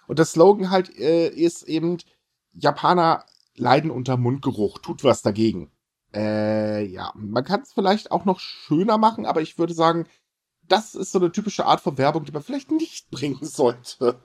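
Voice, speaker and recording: Guy podcast host, slightly high voice, wordy and a bit hesitant, just chatting. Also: lively intonation, normal speed, darkish tone, breathy edge. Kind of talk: casual news chat with co-hosts.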